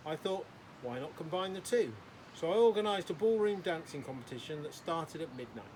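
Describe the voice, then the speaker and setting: A man's voice: on the high side, expressive, fairly quick, darkish tone, slight background noise, close to an everyday voice. Man reading as a kindly old hospital porter. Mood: reminiscing. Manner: courteous, addresses children formally.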